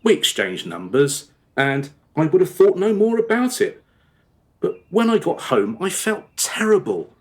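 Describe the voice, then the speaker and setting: Actor playing a remorseful father, animated rambling storyteller. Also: sits high, measured pace. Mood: rueful. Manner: rambling, over-descriptive, hesitant reminiscing. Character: remorseful married father confessing past affair to his daughter. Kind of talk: radio play dialogue, storytelling monologue.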